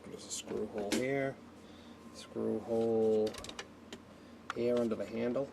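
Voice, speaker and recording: A man giving a casual, unscripted hands-on tutorial, talking haltingly as he thinks aloud while working. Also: on the low side, gravelly, noisy background. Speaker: hobbyist presenter of a radio repair video.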